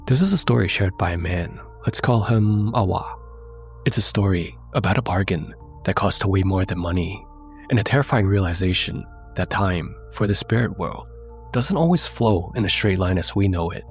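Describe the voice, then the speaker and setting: A man hosting and narrating a horror story in a measured, suspenseful way, sounding congested from a cold. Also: sits low. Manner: measured, suspenseful delivery, addressing viewers directly. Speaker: narrator and host.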